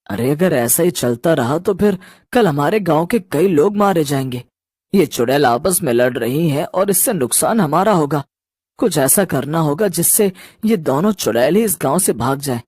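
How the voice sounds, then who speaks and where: Man playing a worried village boy, high-pitched. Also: animated, moderate pace, a little mellow, breathy edge, dry recording, clean studio sound. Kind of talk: animated horror story dialogue.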